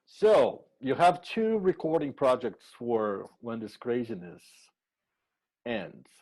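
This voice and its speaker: A man from Brazil hosting a casual podcast interview, halting and restarting his words. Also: medium pitch, sing-song, darkish tone, rough recording.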